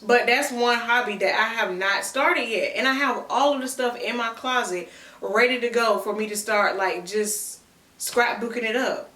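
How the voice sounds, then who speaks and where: A woman in her thirties chatting casually to camera, answering viewer questions with relaxed slang. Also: on the high side, moderate pace, distant mic. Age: 30s. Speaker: content creator. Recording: echoey room, mic set back.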